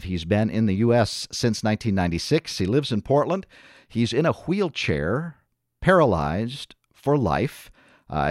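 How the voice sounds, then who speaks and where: A man hosting a radio interview program, speaking in a measured way with frequent hesitant fillers. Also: lowish voice, no echo, clean studio sound. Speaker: radio host. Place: radio studio.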